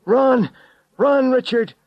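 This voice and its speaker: A man's voice: very high voice, takes it slowly, dry recording, muffled recording, voice pushed up. A man playing a tormented ex-astronaut in a horror story, speaking with dramatic, straining urgency. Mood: desperate shouting. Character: tormented ex-astronaut, urgent, desperate, warning his friend.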